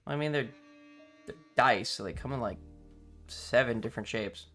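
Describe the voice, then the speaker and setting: Male live streamer, mid-range voice, loose rambling chat with a playfully dramatic streak, soft guitar music behind. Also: medium pitch, normal speed. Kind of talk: casual livestream chat with viewers.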